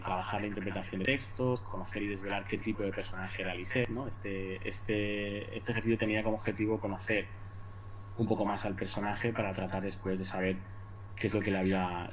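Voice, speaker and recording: A man with an accent from Spain giving an informal academic presentation, haltingly, repeating words and adding little tag questions. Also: on the low side, rough recording. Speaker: university lecturer presenting at an academic conference. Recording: noticeable background noise.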